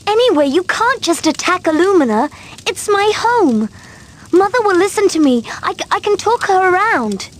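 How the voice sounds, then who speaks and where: A woman's voice: very high-pitched, very animated, normal speed. A woman voicing a naive, headstrong young princess, emphatic and indignant, in a video game cutscene. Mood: protesting.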